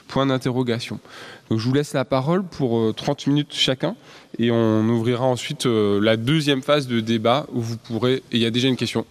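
A man moderating a conference panel who introduces the speakers with many fillers. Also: mid-range voice. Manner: halting, frequent fillers.

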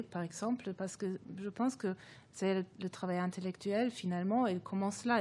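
A woman's voice: mid-range voice, dark tone. A woman whose first language is German, a guest author speaking reflectively in an academic discussion, thoughtful and hesitant with many fillers and restarts.

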